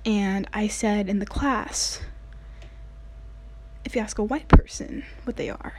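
A woman's voice: medium pitch, slow pace, a little mellow. A woman in her late teens from the United States, earnestly telling a personal story in a casual way, with many "like" fillers. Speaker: vlogger.

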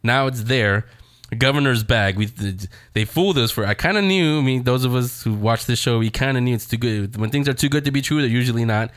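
A man's voice: medium pitch, fairly quick, a bit bright, dry recording, studio quality. A man hosting a show in a casual, rambling, conversational style, talking straight to his audience. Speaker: show host. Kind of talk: casual chat reviewing TV shows.

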